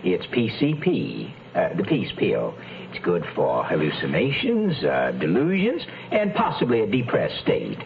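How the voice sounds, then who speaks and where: An actor playing a sadistic, mockingly polite doctor who interrogates with deliberate taunts in a radio crime drama. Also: male voice, deep voice, wildly swooping pitch, gravelly, exaggerated voice. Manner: taunting, deliberate, mock-courteous. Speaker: supporting actor. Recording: dull old radio recording under music.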